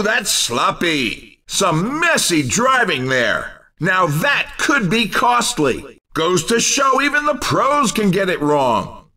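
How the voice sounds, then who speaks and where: An excitable, cheeky race commentator for a video game. His voice sits extremely high and rings out forcefully. He delivers each punchy exclamation unhurriedly, playfully calling the action. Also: distant mic, heavily acted. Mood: cheeky, scolding.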